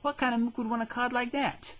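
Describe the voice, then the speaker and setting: A man's voice: very high-pitched, steady tone, fairly quick, a bit bright, lo-fi sound, slight background noise. A man voicing a brash, slangy teenage schoolboy with a Brooklyn accent in a parody anime dub.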